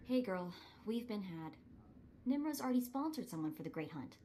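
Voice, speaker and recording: An actress voicing an eager, determined young streetwise sidekick in a cinematic video game, earnest and spirited. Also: female voice, mid-range voice, big pitch swings, moderate pace, mellow, slight background noise, close to an everyday voice. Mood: cocky, urgent.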